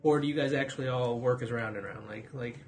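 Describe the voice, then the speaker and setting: A man hosting a design podcast, chatty and quick, often restarting his sentences. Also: medium pitch, slight background noise. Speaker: podcast host. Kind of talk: casual interview hosting.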